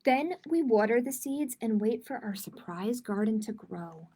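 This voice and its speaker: Lady with a kinda high voice doing kids library storytime, expressive reading plus casual little asides. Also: a little slow. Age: adult. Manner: expressive, addressing young children and parents. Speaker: children's library storytime host. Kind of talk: reading picture books aloud with informal asides to viewers. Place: live-streamed online storytime.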